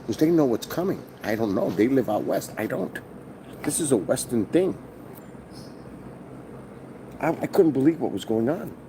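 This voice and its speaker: Loud, profane New man rambling. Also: mid-range voice, moderate pace, long pauses, a little hiss or hum, rough recording. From New York. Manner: profane, rambling storyteller with bursts of excitement. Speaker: streamer. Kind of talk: casual live-stream vlog. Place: hotel, indoors.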